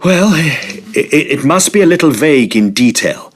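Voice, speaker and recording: Man playing a shaken, earnest Victorian student, animated pitch. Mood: earnest, grim. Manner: halting, urgent, grave storytelling. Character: shaken young male Victorian Oxford student, earnest, haunted. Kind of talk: dramatic audio play acting.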